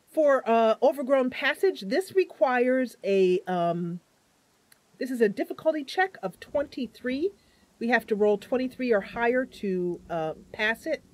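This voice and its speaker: A woman giving casual live commentary as she plays and narrates a roleplaying game. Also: on the high side. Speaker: game streamer.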